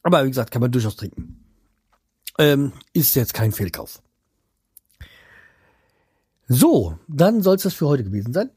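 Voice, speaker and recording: A man's voice: mid-range voice, big pitch swings, measured pace, long pauses, warm tone, husky, no room sound, clean studio sound. A man from the Hanau area, chatting casually to his viewers at home in a rambling way, trailing off and restarting with many fillers. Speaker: vlogger. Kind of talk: casual chat to camera.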